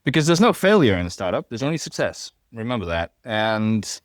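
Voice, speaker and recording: A man's voice: lowish voice, very animated, a little fast, no echo, clean studio sound. A man in his thirties from Fiji, raised in Hawaii, with a mixed Fijian, New Zealand, Australian and American accent, chatting casually and self-deprecatingly as a podcast guest. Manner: rambling, self-deprecating, joking asides. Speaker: podcast guest, software engineer.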